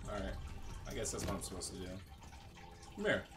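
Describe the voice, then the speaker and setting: Guy with a lowish voice doing casual gameplay commentary, kinda muttering, noisy with water dripping behind. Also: very animated, fairly quick. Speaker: gaming video host. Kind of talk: casual video game commentary.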